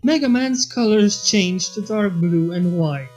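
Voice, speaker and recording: A man's voice: sits high, a little slow, darkish tone. A young adult man narrating a fan story as its reader. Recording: dull lo-fi with background music. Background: faint music.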